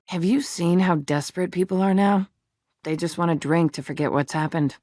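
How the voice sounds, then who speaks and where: A woman's voice: low voice, dry recording, clean studio sound, lightly acted. An actress playing a blunt, sarcastic female dwarf who works as a tavern barmaid in a video game. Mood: wry, exasperated. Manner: sardonic, blunt, earthy. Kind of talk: video game character dialogue.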